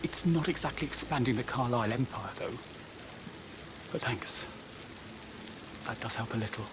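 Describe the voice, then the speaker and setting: An actor playing a gloomy, self-deprecating middle-aged professor in a video game. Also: male voice, mid-range voice, normal speed, noisy background, lightly acted. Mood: downcast.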